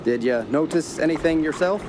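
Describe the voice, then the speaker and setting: An actor playing a learned, middle-aged rationalist uncle in a radio horror drama, measured and lecturing, encouraging yet dismissive. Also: male voice, on the high side, natural voice. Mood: curious. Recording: clearly noisy outdoor ambience.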